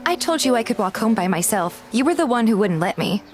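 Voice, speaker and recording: A woman's voice: on the high side, big pitch swings, fairly quick. A woman playing a pouty, loyal young heroine who complains playfully in a fan dub of a romance game. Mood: mock indignant. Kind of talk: fan dub dialogue with first-person narration. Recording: clear background music.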